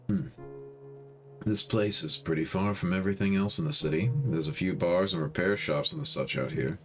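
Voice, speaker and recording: A man's voice: low-pitched, fairly bright tone. A man reading a visual novel aloud as storytime narration, breaking off into informal, slangy commentary.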